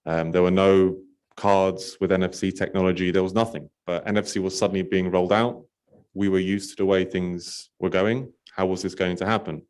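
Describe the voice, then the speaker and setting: A man from Poland, a company representative, answering panel questions in a relaxed, talkative way with many fillers. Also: low-pitched, moderate pace. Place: conference stage.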